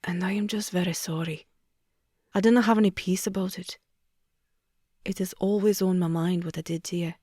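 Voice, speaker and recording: A woman's voice: fairly even intonation, normal speed, long pauses, husky, no room sound, clean studio sound. Woman doing a remorseful Scottish young man. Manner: low, hushed, earnest.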